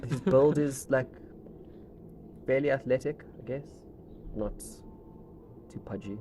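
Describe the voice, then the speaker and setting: A man's voice: medium pitch, slow pace. A man chatting casually as a player in a tabletop game, hesitant and self-deprecating. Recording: noisy room with background ambience.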